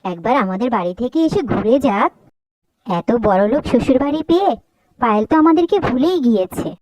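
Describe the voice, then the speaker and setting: A woman's voice: fairly high pitch, expressive, fairly quick, a little mellow, no room sound, close to an everyday voice, low-quality recording. A woman playing an affectionate, supportive young wife in a cartoon story, sweet and chatty. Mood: sweet, pleased. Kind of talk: Bengali cartoon story dialogue.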